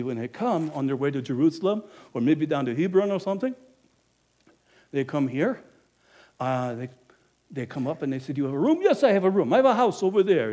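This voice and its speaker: A man acting out a middle-aged innkeeper in a dramatic first-person monologue, emphatic and animated, swinging between loud and hushed. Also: sits high. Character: middle-aged male innkeeper, money-minded and guilt-ridden. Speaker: actor.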